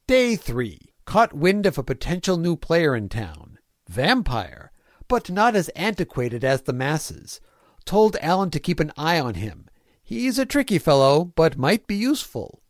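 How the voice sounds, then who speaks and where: A man's voice: fairly high pitch, big pitch swings, measured pace, dry recording, clean studio sound. A man voicing a cheerfully villainous, germ-hating small-town mayor, reading his diary in a folksy, prim, clipped way for a comic fan-fiction audio. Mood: brisk musing. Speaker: fan audio drama voice actor.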